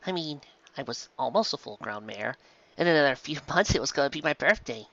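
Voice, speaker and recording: A gentle pony mother, played by a man reading aloud, speaks softly and haltingly in a slightly lifted voice. She sounds apologetic and weighed down by guilt, as in a storybook, on a dull, lo-fi recording. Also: medium pitch, animated. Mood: ashamed. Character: guilt-ridden, gentle adult pegasus mare mother. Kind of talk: fan fiction audiobook character voice.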